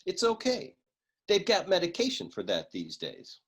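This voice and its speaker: A man playing a flippant, self-important private eye with a deadpan manner in a comic detective radio play. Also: high-pitched, normal speed, breathy edge, heavily acted. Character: flippant, dim-witted noir male private eye.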